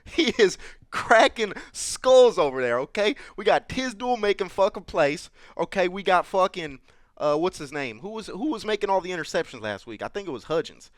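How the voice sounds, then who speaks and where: Brash, crude football coach, boastful and heckling, man putting it on, highish voice, dry close sound. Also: lively intonation, normal speed, no room sound. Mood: fired up. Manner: boastful, crude, keeps addressing the host by name. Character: brash profane male college football coach. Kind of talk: improvised comedy interview sketch.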